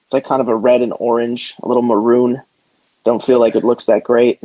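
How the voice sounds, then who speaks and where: A man's voice: medium pitch, little pitch movement, brisk, dark tone, no echo. A man co-hosting a casual sports podcast with slangy, rambling, opinionated banter. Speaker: podcast co-host. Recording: dull lo-fi close podcast audio.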